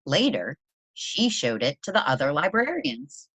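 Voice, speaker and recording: A woman reading a children's story aloud in an expressive storytelling style, with deliberate, emphatic phrasing. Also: lowish voice, vocal fry, husky, dry recording. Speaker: presenter reading a children's picture book aloud.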